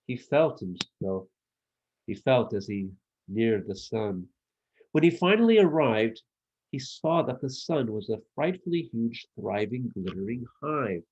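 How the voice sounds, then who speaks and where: A man narrating a children's storybook as its author, with measured, expressive delivery. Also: fairly low pitch, husky, dull audio. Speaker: author reading own children's book.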